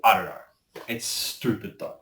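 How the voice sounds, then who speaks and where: A man's voice: moderate pace, a bit bright, a little hiss or hum. A man chatting casually as a voice actor being interviewed, rambling and opinionated. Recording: roomy echo, distant microphone.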